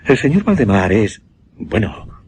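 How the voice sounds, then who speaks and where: An actor playing an earnest gentleman mesmerist in a suspense radio drama, formal and deliberate. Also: on the low side, big pitch swings, slightly rough, exaggerated voice. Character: earnest gentleman mesmerist recounting his uncanny experiment.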